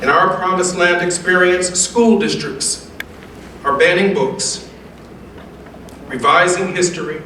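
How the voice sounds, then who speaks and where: An American man delivers formal remarks as a guest speaker in an oratorical, preacher-like style, measured and emphatic with rhetorical repetition. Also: sits high, fairly even intonation, pushed and bright. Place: large echoey indoor event room. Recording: very echoey room, distant mic, faint noise.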